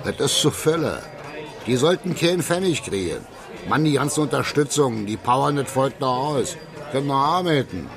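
An actor playing a boastful, chummy small-time crook with a Berlin accent in a radio drama, colloquial and swaggering. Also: male voice, medium pitch, lively intonation, moderate pace, gravelly. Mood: grumbling. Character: boastful, chummy Berlin crook spinning tales and hawking goods. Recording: music behind the dialogue.

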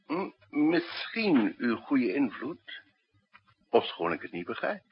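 A man's voice: mid-range voice, very animated, slow pace. An actor playing a puzzled, formal male physician in a 1960s radio drama. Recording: dull hissy 1960s radio recording.

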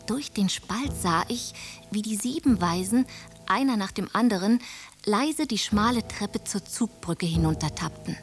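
A woman's voice: medium pitch, measured pace, natural voice. Woman as young heroine narrating over music. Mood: suspenseful. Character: young noblewoman secretively recounting her own adventure. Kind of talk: scripted first-person radio drama narration. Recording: music behind.

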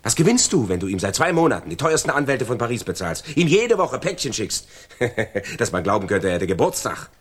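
A man's voice: medium pitch, sing-song, fairly quick, slightly rough. An actor playing a loyal, persistent crook in a radio crime drama, earnest and persuasive as he argues. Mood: probing, pressing. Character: loyal, persistent male crook pleading for his jailed friend.

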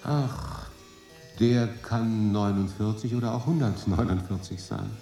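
A man's voice: on the low side, expressive, a little slow, vocal fry, mellow, faint music behind. An actor in his late thirties playing a cool, urbane English consul in a German radio drama, thoughtful, dry and understated. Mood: wry, musing. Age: late 30s.

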